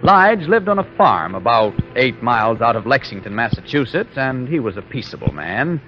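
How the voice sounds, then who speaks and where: A man's voice: medium pitch, sing-song, moderate pace, soft background music. A man narrating a 1940s radio drama as a theatrical, folksy storyteller. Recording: dull vintage 1940s radio recording.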